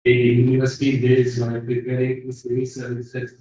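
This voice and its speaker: Echoey, distant Indian man speaking haltingly. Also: medium pitch, completely flat delivery, warm tone. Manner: halting, rambling delivery with broken-off sentences. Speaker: guest speaker at a book release function. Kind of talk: informal, reminiscing public speech. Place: indoor function hall with audience. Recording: very echoey hall, distant muffled microphone.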